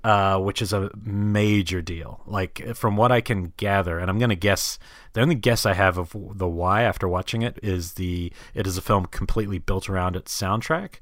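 Deep-voiced man talking films, thinking aloud. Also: normal speed, fairly bright tone, no room sound, studio quality. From New Zealand. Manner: hesitant, rambling, thinking aloud. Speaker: film podcast host. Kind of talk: casual film discussion.

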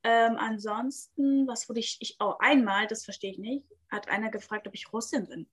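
A woman's voice: on the high side, steady tone, normal speed, husky. A young woman from Germany, a native Spanish and Italian speaker, chatting casually in slang, acting out quotes and often checking she is understood. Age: young adult. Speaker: participant in a group talk. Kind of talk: casual chat, youth slang.

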